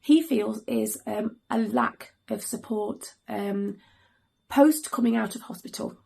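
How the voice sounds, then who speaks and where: Hoarse, gravelly woman, hesitant. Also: on the high side, warm tone. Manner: hesitant, many pauses and ums, earnest. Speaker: mother and channel host. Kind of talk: earnest serious vlog talk to camera.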